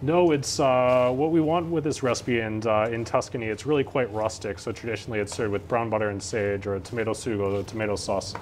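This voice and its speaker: A man's voice: mid-range voice, fairly even intonation, brisk, few pauses, darkish tone, a little hiss or hum. A young Canadian man, a student chef, explaining a dish during a live cooking demonstration with many "uh" fillers. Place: large teaching kitchen studio.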